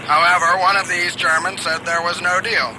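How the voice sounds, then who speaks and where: A man playing an earnest, deadpan news correspondent in a satirical radio play. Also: sits high, a little fast, close to an everyday voice. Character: earnest male field correspondent in a spoof news bulletin. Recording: noisy outdoor street ambience with vehicles.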